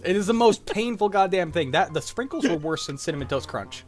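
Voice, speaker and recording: A man's voice: sits high, lively intonation, brisk. A young man in casual group chat, talking in a crude, joking, sweary way. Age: young adult. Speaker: show cast member. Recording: music behind. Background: music.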